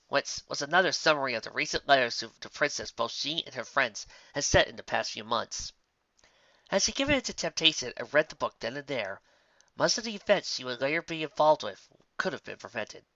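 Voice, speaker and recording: Animated, bright-voiced man reading a story. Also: mid-range voice, no echo, highs cut off. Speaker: story reader. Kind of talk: scripted narration of fan fiction.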